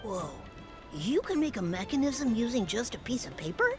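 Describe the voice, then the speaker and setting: A woman voicing an excitable, wide-eyed child in an anime-style video game. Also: a little high, moderate pace, music in the background. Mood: amazed. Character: excitable, wide-eyed child who loves paper toys.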